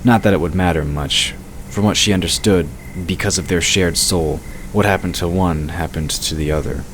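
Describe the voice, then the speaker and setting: Male narrator for scripted fantasy audio drama, deep register, slight background noise. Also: moderate pace, rough recording.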